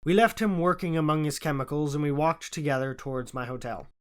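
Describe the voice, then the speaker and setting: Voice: mid-range voice, brisk. Storybook narrator reading a classic novel. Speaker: audiobook narrator.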